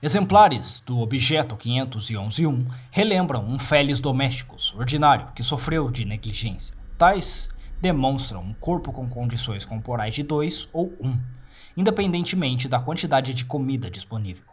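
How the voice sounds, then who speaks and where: A man with a Brazilian accent narrating a scripted fictional report in a measured, formal, clinical manner. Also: medium pitch, lively intonation, husky. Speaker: narrator of horror fiction files.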